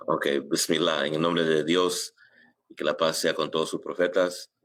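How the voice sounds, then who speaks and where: A middle-aged man from New York telling his story as an interview guest, halting often and dropping filler phrases in. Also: rumbling low, little pitch movement, a bit bright. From United States, New York. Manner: halting, sprinkles English filler phrases into Spanish. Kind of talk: casual personal storytelling in an interview.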